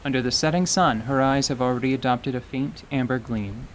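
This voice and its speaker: A man narrating a scripted radio theater story in a measured, descriptive way. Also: mid-range voice, slight background noise. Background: faint music.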